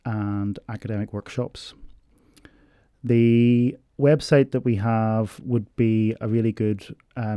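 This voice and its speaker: A man explaining university learning support in a measured interview, pausing often on ums. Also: fairly low pitch, warm tone, no room sound, studio quality. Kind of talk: informational interview answers.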